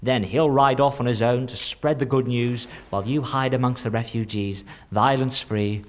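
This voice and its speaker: A man playing a sly, theatrical changeling in a dark fantasy audio drama, teasing and cryptic, lingering over words. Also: medium pitch, steady tone, normal speed, close to an everyday voice. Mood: conspiratorial, brisk. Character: sly, theatrical shapeshifting changeling in a stolen man's body.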